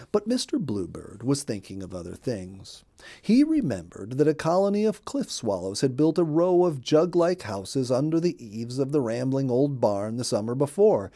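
A middle-aged man reads a children's storybook aloud. His voice is mellow and sits at a medium pitch. The delivery is expressive, with lively rises and falls. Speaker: storyteller, audiobook reader. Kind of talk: scripted children's story narration. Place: indoor room.

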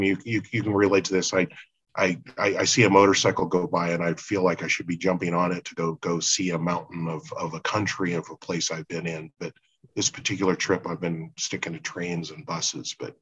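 American man, 40s, deep husky voice, halting casual delivery, muffled video-call sound. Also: flat delivery, fairly bright tone. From United States. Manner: halting, repeats words. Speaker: interview guest, volunteer. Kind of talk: casual conversational interview. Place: indoors on a video call, virtual background.

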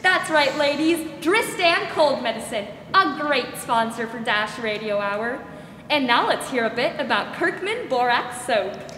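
A bright, high-voiced 1940s radio hostess acted by a young woman, gracious and upbeat. Her announcing is folksy and polished, with strong hall echo and faint noise. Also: animated, unhurried, heavily acted. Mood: brisk, upbeat. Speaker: radio show host. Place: school theatre stage, indoors. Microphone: stand microphone.

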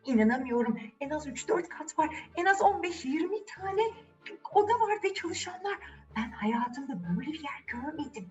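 A woman's voice: sits high, expressive, normal speed, recorded from a distance, muffled recording, faint music behind, voice pushed up. A woman voicing an eager, naive young girl in a children's storybook tale, exclaiming emotionally. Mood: amazed.

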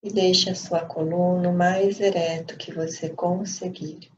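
A Brazilian woman leading a guided meditation as a teacher, speaking calmly in short phrases with long pauses. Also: fairly low pitch. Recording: dull lo-fi sound with light background noise.

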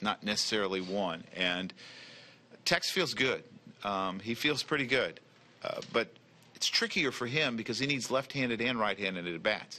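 A man in his forties, a baseball manager, answering reporters at a press conference table mic, measured and often repeating words as he starts. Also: lowish voice, bright, forceful tone. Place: press room in front of a team backdrop. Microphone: table mic with a logo flag.

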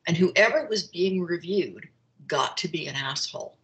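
A middle-aged woman with a slightly low voice, the kind of guest who shares frank stories on an online group call from her kitchen. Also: expressive, moderate pace. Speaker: guest speaker. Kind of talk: candid conversational storytelling. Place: open-plan kitchen and living room at home. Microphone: video call, computer microphone.

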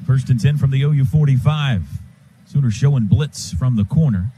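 An American man calling live football on the radio as play-by-play announcer, in clipped phrases that build toward excited calls. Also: medium pitch, normal speed. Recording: stadium booth with loud crowd noise.

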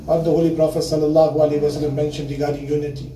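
A man's voice: on the high side, flat delivery, racing, far from the mic, noisy background. Earnest man preaching in an echoey hall. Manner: earnest, stresses words by repeating them; mixes Urdu and Arabic phrases into English. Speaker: religious speaker. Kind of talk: sermon at a memorial gathering. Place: indoor hall, seated at a panel table. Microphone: handheld mic. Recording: echoey hall, mic held away.

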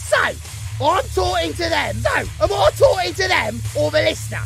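A British man playing a gleefully unhinged undead vampire-werewolf half-breed, shouting and rambling theatrically in an audio drama. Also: very high-pitched, sing-song, moderate pace, creaky, soft background music, heavily acted. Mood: giddy, unhinged. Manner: shouting, rambling, cackling, fourth-wall-breaking trivia patter.

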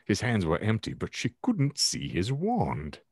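A man's voice: lowish voice, huge pitch swings, unhurried, a little mellow, breathy edge, no room sound. A man reading fan fiction dramatically as a podcast host, in a theatrical manner, close to the microphone at home. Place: home room, on a video call.

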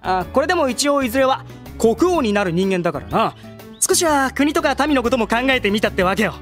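An actor playing a brash, spoiled young male royal heir who talks in a rough, blunt, sulky way in an anime drama CD. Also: very high-pitched, very animated, fairly quick, fairly bright tone, faint music behind, exaggerated voice. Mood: defensive.

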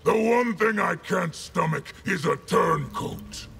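A man voicing a brutal, arrogant warlord general in a fighting game, menacing and imperious, with a booming gravelly voice. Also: expressive, slow, sharp tone, exaggerated voice. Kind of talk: video game fight dialogue.

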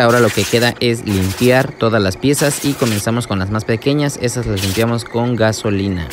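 A man's voice: lowish voice, noisy background. A man talking viewers through a small-engine repair step by step, patient and explanatory, speaking to them directly as a voice-over.

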